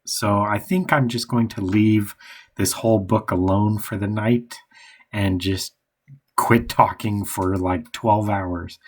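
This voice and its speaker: Man, hoarse, very deep voice, raspy, hesitant rambling, writer thinking aloud. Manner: hesitant and rambling, many pauses and restarts. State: hoarse, losing his voice. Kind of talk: thinking aloud while outlining a novel.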